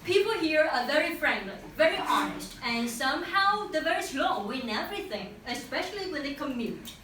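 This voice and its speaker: A young woman with a Vietnamese accent speaks high and animated, her pitch rising and falling freely. She presents to a class in earnest, pausing and restarting at times. She sounds far from the mic, in a very echoey room with faint noise. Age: young adult. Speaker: student presenter.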